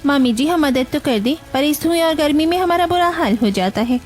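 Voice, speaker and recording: A woman's voice: sits high, expressive, brisk, faint music behind. A woman with an Indian accent playing a selfish, demanding young newlywed sister-in-law in a family cartoon, theatrical and whining. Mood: indignant, whining.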